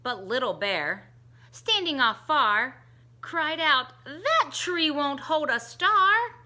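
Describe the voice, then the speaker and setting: A middle-aged woman reads a rhyming children's book aloud at an unhurried pace. Her voice is bright and a little high, and it swoops up and down in a sing-song way as she changes voices for the characters. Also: sing-song. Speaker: teacher reading a picture book aloud. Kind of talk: storybook read-aloud for children.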